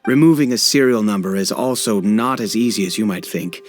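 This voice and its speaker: An actor playing an easygoing, wry guard officer in a video game, with a casual, chatty manner. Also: male voice, on the low side, a little fast, soft background music, close to an everyday voice. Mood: matter-of-fact, explaining. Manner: casual, chatty, jokingly self-deprecating. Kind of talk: video game dialogue acting.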